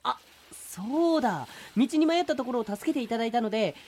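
A woman's voice: a little high, animated, fairly quick, a little mellow. A woman voicing a lonely, polite but pushy male talking sea turtle in a comedic radio drama. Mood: polite, inviting.

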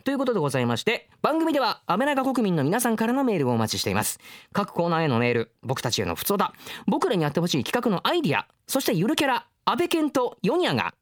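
Man, thirties, radio co-host, highly animated intonation, fast delivery, casual chat, dry sound. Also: no room sound. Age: 30s. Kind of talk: casual chat and reading announcements.

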